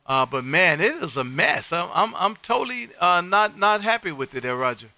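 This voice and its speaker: Bright, forceful man on ham radio. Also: high-pitched, normal speed, vocal fry, no room sound. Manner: loud, folksy, animated radio patter. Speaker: amateur radio operator and livestream host. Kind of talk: casual radio chat. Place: home radio room.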